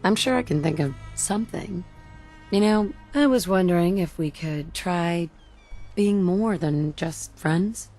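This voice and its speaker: A lowish-voiced, sincere female adventurer. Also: unhurried, a little mellow, faint music behind. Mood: tender, flirtatious. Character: supportive, affectionate female wasteland adventurer. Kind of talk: video game dialogue.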